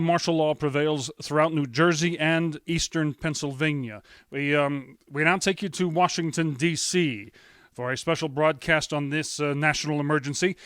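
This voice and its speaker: An actor playing a grave, shaken radio news announcer, solemn and halting as he reads emergency bulletins in 1930s radio style. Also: on the high side, a bit bright, smooth, no echo, close to an everyday voice.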